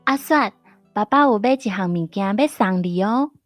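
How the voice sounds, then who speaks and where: A woman playing an attentive, gently firm mother in a radio drama, with a Taiwanese accent. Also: medium pitch, animated, moderate pace, soft background music, lightly acted. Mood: affectionate.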